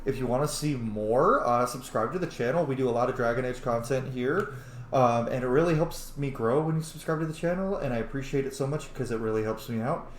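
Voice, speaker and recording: A man's voice: medium pitch, brisk. Guy doing sweary casual rp game commentary, echoey room, bit of background noise. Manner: sweary, speaks character's thoughts aloud. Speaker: gaming video host.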